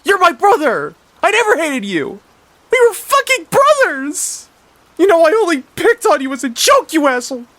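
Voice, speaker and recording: A shattered fish brother, screaming through rage and grief. His voice shoots far above its usual pitch and blares loudly. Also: wildly swooping pitch, moderate pace, dry recording, exaggerated voice. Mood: enraged.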